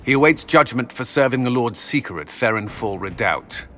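An actor playing a grave, stern military officer in a fantasy game, speaking formally and with control. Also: male voice, mid-range voice, expressive, normal speed, fairly bright tone, slight background noise, close to an everyday voice. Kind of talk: dramatic fantasy game dialogue.

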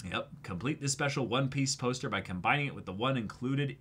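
A man in his twenties co-hosting a manga read-aloud, excitable and exclamatory, switching between dramatic reading and casual banter. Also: medium pitch, brisk, a little hiss or hum. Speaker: co-host of a manga reading show. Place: indoor room, seated before a backdrop.

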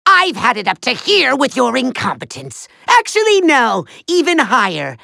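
Shrill pompous little evil overlord for a video game, swoops all over, really forceful and theatrical. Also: male voice, wildly swooping pitch, no room sound, clean studio sound, exaggerated voice. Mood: exasperated. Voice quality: shrill, gravelly. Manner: bombastic, theatrical, drawn-out emphatic words. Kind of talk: video game villain acting.

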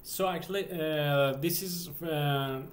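A man's voice: fairly high pitch, takes it slowly, faint music behind. Hesitant man with a Persian accent. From Iran. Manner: hesitant, many fillers and restarts. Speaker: game developer vlogger. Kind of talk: casual screen-share walkthrough. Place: home office, indoors.

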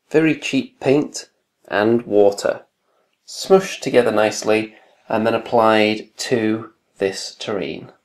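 A man casually narrating his hobby build log in a relaxed, wry, understated way. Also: fairly low pitch. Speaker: hobby video creator. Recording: echoey home room.

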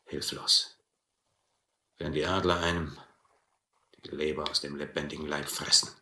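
An actor playing a brutal, proud, cynical sea captain who taunts and philosophizes in a German radio adventure play. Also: male voice, extremely low pitch, flat delivery, sharp tone, echoey room, slight background noise, exaggerated voice. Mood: bitter, brooding. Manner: mocking, slow deliberate taunting.

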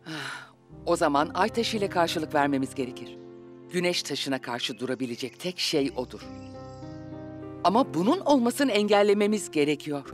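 A scheming older cartoon witch, imperious and deliberate. The voice swings widely in pitch, with faint music behind. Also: sing-song. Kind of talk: animated cartoon dubbing.

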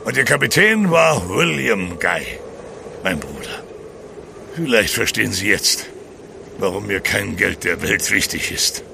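An actor playing a gruff, driven, world-weary ship's captain in a radio drama. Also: male voice, lowish voice, animated, unhurried, noisy background, exaggerated voice. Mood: grave.

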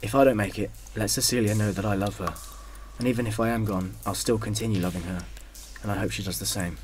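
A man's voice: on the low side, steady tone, fast talker, darkish tone, lightly acted. A man playing a protective young First World War soldier, afraid but brave, in a radio drama. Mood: tender, subdued. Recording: noisy, with gunfire and artillery behind.

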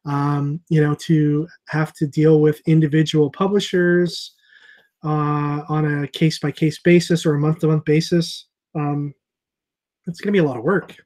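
A middle-aged man hosting a casual video call chat as a comic shop owner, thinking aloud with many pauses and fillers. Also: on the high side, level tone, darkish tone. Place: small room with shelves of comics behind. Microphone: earbud headset on a video call.

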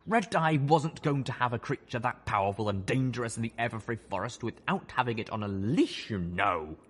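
A man's voice: medium pitch, animated, a little fast, fairly bright tone, husky. A man voicing a glib, cheerful cyborg pony scientist in an audiobook, breezy and matter-of-fact. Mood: amused, brisk. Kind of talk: audiobook character voice.

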